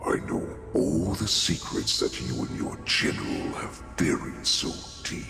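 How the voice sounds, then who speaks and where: An actor playing a menacing, gloating beast-warlord villain in a video game, with a gravelly growl and a slow, taunting delivery. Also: male voice, extremely low pitch, sing-song, roomy sound, over music, exaggerated voice. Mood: gloating menace. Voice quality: growling, gravelly, breathy rasp. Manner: slow, taunting, savoring each threat. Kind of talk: dramatic video game voice acting.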